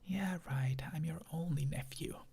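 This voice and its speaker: Boastful lion cub, eager and cheeky, in a reader's whisper; husky and slow. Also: medium pitch, dark tone, pitched below the performer's own voice, full character voice.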